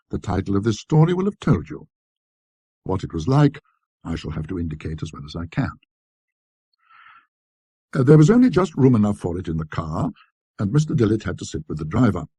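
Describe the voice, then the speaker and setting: Male storyteller, precise and scholarly, low-pitched, soft dark tone, very lively intonation, scripted ghost story. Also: breathy edge, no room sound. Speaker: narrator.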